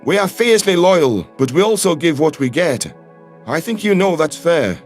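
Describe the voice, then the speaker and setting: Man playing a highish-voiced, frank human astronaut. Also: big pitch swings, moderate pace, soft background music. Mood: firm, earnest. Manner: plain-spoken, firm. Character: loyal, frank human male astronaut and diplomatic envoy. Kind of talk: science fiction audio drama acting.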